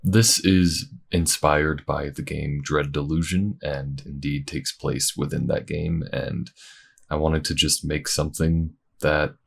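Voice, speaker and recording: A man's voice: very deep voice, fairly even intonation, takes it slowly, vocal fry, husky. A man giving a casual, enthusiastic outro to his listeners as the creator of the audio. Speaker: voice actor and creator.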